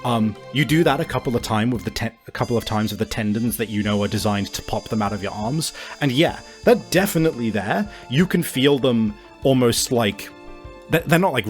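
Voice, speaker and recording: A man runs a tabletop game in a lowish, lively voice. He describes things in detail, improvising haltingly as he goes, with faint music underneath. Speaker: game master.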